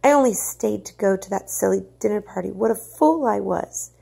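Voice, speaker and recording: Woman playing a frantic, high-pitched elegant lady. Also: normal speed, gravelly, dark tone, breathy edge. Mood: distraught. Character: frightened, frantic young colonial mother, elegant lady.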